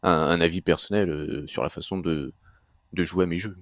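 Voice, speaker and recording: A man from France, a guest in a casual discussion, calmly explaining his reasons. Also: low-pitched, normal speed, dry recording, clean studio sound.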